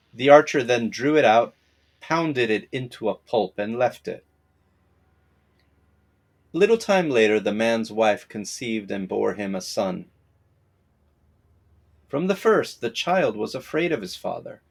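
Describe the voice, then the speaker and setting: A man narrates a folktale from a script. His voice sits on the low side with plenty of gravelly fry, and he tells it in a measured, dramatic storyteller's way.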